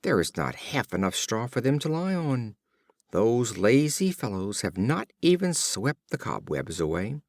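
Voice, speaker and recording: A man's voice: mid-range voice, big pitch swings, measured pace, dry recording. A man voicing an exacting farm master in a storybook fable, exclaiming and complaining. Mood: annoyed.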